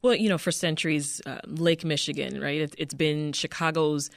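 A woman's voice: deep voice, steady tone, normal speed, vocal fry, no room sound. Woman radio host, dry studio sound. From Toronto, Canada. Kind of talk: radio interview hosting. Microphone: close studio microphone.

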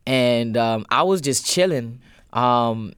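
A man being interviewed as a musician guest in a radio studio, talking casually with lots of ums and getting lively when telling stories. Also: medium pitch, takes it slowly, smooth, no room sound. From United States. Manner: hesitant, full of ums, lively when storytelling.

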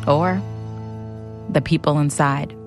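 A woman narrates a scripted radio drama in a measured, grave manner, building in short phrases. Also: low-pitched, steady tone, faint music behind.

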